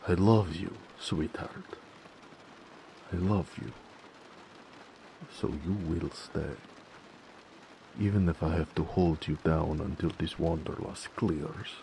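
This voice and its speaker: Orc blacksmith lover, played by a man, gravelly and slow, earnest, tender, possessive; romantic audio roleplay over faint rain-like noise. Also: steady tone, warm tone, full character voice. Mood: loving, determined. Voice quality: deep, gravelly.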